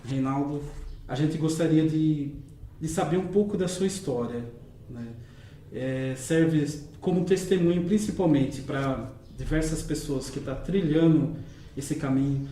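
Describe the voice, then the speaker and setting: A man interviewing a deacon, asking gently and adding frequent tag questions. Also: fairly high pitch, measured pace, roomy sound, a little hiss or hum. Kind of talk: religious interview.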